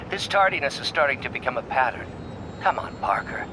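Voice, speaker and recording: A man's voice: medium pitch, expressive, unhurried, background noise, natural voice. An actor voicing a stern male scientist mentor with a clipped, reproachful manner.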